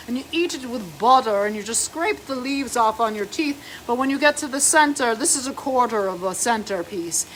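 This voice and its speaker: A woman's voice: a little high, fairly bright tone, slight background noise, poor audio. A middle-aged woman with a light Americanized German accent, hosting a chatty cooking demonstration in a home kitchen and fussily narrating each step. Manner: prim, fussy, homey, narrates each step. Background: food frying in a pan.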